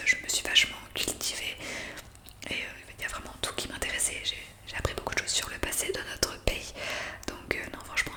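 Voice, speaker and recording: A woman speaking in a breathy whisper for an ASMR roleplay, explaining things softly with halting hesitations. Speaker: ASMR creator playing a counsellor for new zombies.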